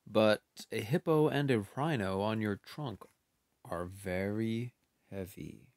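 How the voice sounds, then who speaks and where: A man reading a picture book aloud, voicing an elephant telling a long story, slow and dramatic. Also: on the low side, a little mellow. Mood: earnest. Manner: slow, dramatic storytelling with sing-song sounds. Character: gloomy elephant telling his tale.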